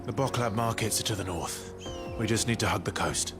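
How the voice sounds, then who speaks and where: A man playing a grim, determined adventurer in a fantasy game, speaking in a gruff, matter-of-fact way. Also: on the low side, fairly quick, close to an everyday voice. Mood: grim, resolved. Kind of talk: dramatic fantasy game voice acting. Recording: loud game music behind the voice.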